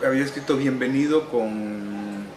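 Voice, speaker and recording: A man's voice: medium pitch, a little mellow, a little hiss or hum. Salvadoran man recalling in an echoey room. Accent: Salvadoran Spanish. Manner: unhurried, wry, frequent "eh" pauses. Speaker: novelist interviewee. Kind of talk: relaxed reminiscing interview answers.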